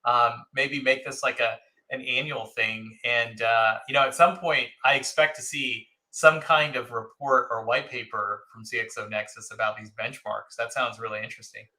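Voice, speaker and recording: A man hosting an interview in a casual, upbeat way, often lifting his voice for quick reactions. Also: mid-range voice, fairly even intonation, pushed and bright, low-quality recording. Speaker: host.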